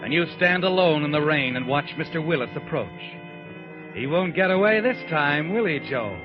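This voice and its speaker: A man's voice: fairly high pitch, expressive, moderate pace, natural voice. A man narrating a 1940s radio mystery as a sinister all-knowing figure, taunting the listener as "you" over music. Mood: ominous. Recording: dull old radio broadcast with music.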